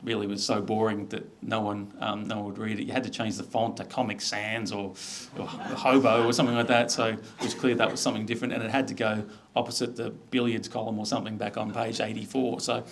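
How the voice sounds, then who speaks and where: A man's voice: on the low side, little pitch movement, a little fast, hardly any pauses, crowd noise. A middle-aged Australian man giving an informal business presentation in a conversational, explanatory way. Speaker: media executive presenting.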